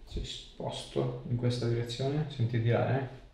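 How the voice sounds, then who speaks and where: A man's voice: medium pitch, steady tone, measured pace, warm tone, a little hiss or hum. Echoey man examining a patient. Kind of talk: clinical consultation, questions and instructions. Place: small clinic treatment room, indoors. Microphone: camera mic a few metres away. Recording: very echoey room, distant mic.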